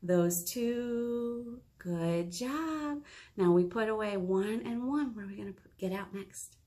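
An American woman in her thirties leading a children's storytime, reading aloud and chatting to young listeners in an encouraging, expressive manner. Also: mid-range voice, takes it slowly. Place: small indoor meeting room.

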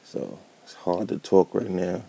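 Man, deep and husky, dark tone, easygoing game commentary with a touch of a cold. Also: a little slow. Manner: laid-back, thinking aloud while building. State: catching a cold. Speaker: gameplay commentator. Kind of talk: casual gameplay commentary.

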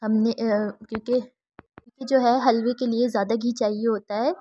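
A woman's voice: on the high side, steady tone, moderate pace, warm tone, dull audio, a little hiss or hum. A woman hosting a cooking tutorial, talking through each step in an easygoing, chatty way. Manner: easygoing, chatty, mixes English words into Hindi-Urdu. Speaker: cooking channel host.

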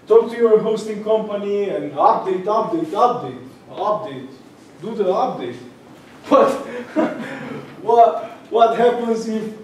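A young man with a Romanian accent giving a tech meetup talk in a large room, halting with many fillers and restarts. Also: high voice, a little mellow, a little hiss or hum. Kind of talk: conference talk. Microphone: far camera. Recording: echoey room from far off.

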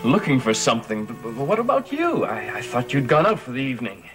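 A young man played by an actor in a gothic television drama, earnest and uneasy. His pitch swings very widely as he speaks, and clear music plays behind him. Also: sing-song, brisk, close to an everyday voice. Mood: evasive, uneasy. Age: young adult. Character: anxious, insistent young man confronting his cursed cousin. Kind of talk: gothic soap opera acting.

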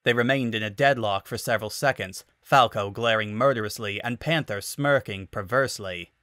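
A man's voice: fairly low pitch, normal speed, pushed and bright, dry recording, clean studio sound. A man narrating a story as a scripted dramatic reading. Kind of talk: dramatic reading of fan fiction.

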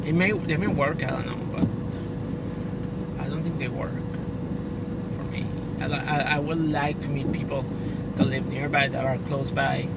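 A woman's voice: rumbling low, steady tone, moderate pace, creaky, pauses often. Puerto Rican woman vlogging from her car. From Puerto Rico. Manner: rambling, thoughtful, frequent "you know" asides. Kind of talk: casual personal vlog. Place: inside a car. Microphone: phone camera mounted on the dashboard. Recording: distant mic with loud car road noise.

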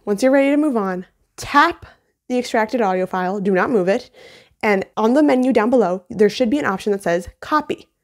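A young adult woman hosting a casual video tutorial in a playful, self-deprecating manner, recorded on a phone in a room at home. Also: medium pitch. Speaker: tutorial host. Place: small indoor home room. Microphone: phone on a mount, about arm's length or a little more.

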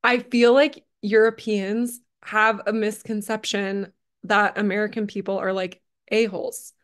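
A woman hosting a podcast, asking questions in a relaxed, friendly way. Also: medium pitch, measured pace. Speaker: podcast host. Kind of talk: casual interview chat.

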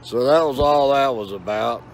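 An older man of about sixty with a gravelly voice, chatting casually and unhurriedly to his phone as he records a vlog outdoors. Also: medium pitch, normal speed. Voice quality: gravelly, creaky. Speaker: vlogger. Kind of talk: casual rambling vlog talk to camera. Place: outdoors, in a yard or by water. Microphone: phone at arm's length. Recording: outdoor ambient noise and hum.

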